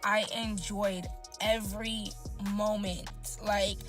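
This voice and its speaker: A woman in casual chat, telling a story in an animated, slangy, expressive way. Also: medium pitch, slow, faint music behind.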